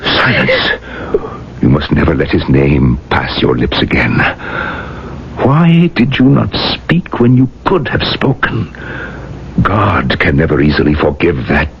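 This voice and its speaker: Stern elder rabbi, extremely low and deliberate, admonishing, on hissy vintage radio drama. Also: male voice, very animated, exaggerated voice. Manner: grave, admonishing, deliberate oratory. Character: stern, solemn older male rabbi. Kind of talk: 1970s radio drama acting. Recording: muffled, hissy old radio recording.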